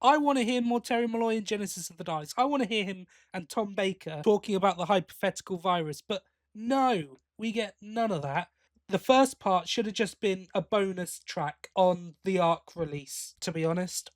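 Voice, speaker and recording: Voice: mid-range voice, moderate pace, dry recording. A podcast host chats casually through a review in a mid-range voice. The delivery is opinionated, leaning into emphatic complaint. The sound is dry and close.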